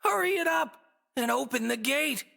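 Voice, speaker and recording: A woman's voice: high voice, lively intonation, normal speed, vocal fry, a bit bright, slight background noise, full character voice. A woman playing a smug, theatrical stuffed-bunny god in a video game, speaking in mocking, riddling, ornate phrases. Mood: impatient, commanding.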